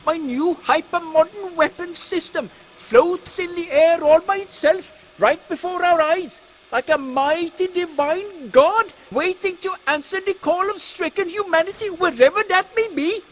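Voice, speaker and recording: A man's voice: extremely high pitch, measured pace, hardly any pauses, voice pushed up, poor audio. A man voicing an awestruck, sentimental engineer, florid and earnest, in a steampunk audio comedy. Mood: awestruck, moved. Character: awestruck, effusive Indian engineer from Bombay, male.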